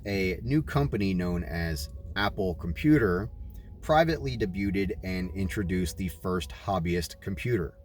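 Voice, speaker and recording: A man reading a religious book aloud in deliberate, emphatic phrases, breaking into informal commentary as he goes. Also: low voice, slight background noise. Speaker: reader of a religious book, adding asides.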